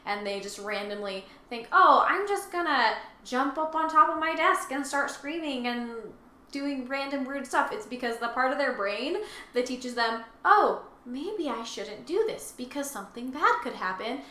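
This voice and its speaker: A woman's voice: high-pitched, animated, normal speed, sharp tone. A woman co-hosting a casual discussion, explaining her thoughts in a tentative, conversational way. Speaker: co-host. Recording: echoey room, faint background noise.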